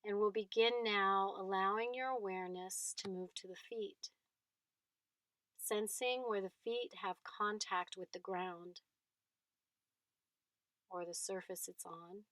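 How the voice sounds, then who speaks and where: A woman guides a body scan meditation at a very slow pace. Her pitch is mid-range for a woman, and she leaves long pauses between gentle phrases. The sound is close and dry, with hardly any room reflection. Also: dry recording.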